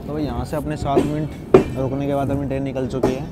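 A man's voice: mid-range voice, little pitch movement, fast talker. A man narrating a casual travel vlog from a packed train and station, chatty and speaking straight to his viewers. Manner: chatty, addresses viewers as brothers. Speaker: travel vlogger. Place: crowded train and station platforms. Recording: noisy crowded train recording. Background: loud crowd and train noise.

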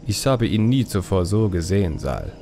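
A man voicing an earnest, thoughtful young male troll in a fantasy audiobook, serious and measured. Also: deep voice, sing-song, gravelly, dark tone, slight background noise, full character voice. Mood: troubled confiding. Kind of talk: audiobook character acting.

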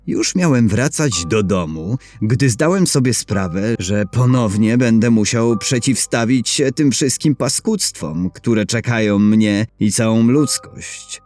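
A male audiobook narrator reads a first-person story at a slow, measured pace. His voice sits on the low side yet swings widely in pitch from phrase to phrase. The delivery is clearly scripted storytelling. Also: a little mellow.

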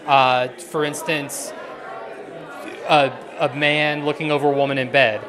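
A man's voice: medium pitch, flat delivery, measured pace, a crowd in the background. Man interviewing on a handheld mic. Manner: hesitant, many fillers while framing questions. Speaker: arts interviewer. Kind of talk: gallery interview.

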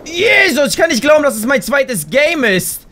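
A young man commentating his own gameplay on a headset mic, excitable and quick to shout, a little hoarse and tired. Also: extremely high pitch, slight background noise. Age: young adult. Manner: excitable, exclaims and shouts at the game. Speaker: gaming YouTuber. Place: home gaming room, indoors.

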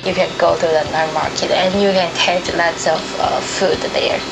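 A woman's voice: lowish voice, little pitch movement, normal speed, gravelly, poor audio. A woman from Taiwan, a student speaking plainly and tentatively. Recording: music bed behind voice.

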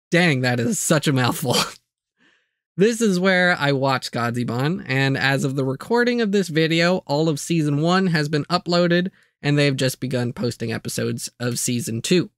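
A man's voice: mid-range voice, no echo, studio quality. A man hosting a video channel, narrating a scripted overview with light, self-aware asides.

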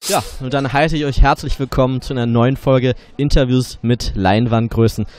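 A man's voice: mid-range voice, fairly even intonation, moderate pace, a little mellow, soft background music. A man in his twenties with a slight cold, hosting a casual interview with a handheld mic outside a café. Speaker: interviewer and host. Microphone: handheld reporter microphone.

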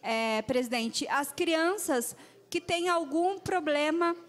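A high-voiced woman in her forties with a São Paulo accent speaks earnestly in a large council chamber. She holds a handheld microphone and hunts for words with frequent fillers. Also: slow pace. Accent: Brazilian Portuguese, São Paulo state. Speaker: city councilwoman. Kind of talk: council floor speech.

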